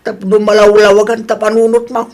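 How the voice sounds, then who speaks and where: A male actor as an older village father in a comic radio drama. His voice is very high for a man. He chides in a mocking, preachy way. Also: little pitch movement, brisk, a little mellow, lightly acted. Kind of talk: Ilocano radio comedy drama acting.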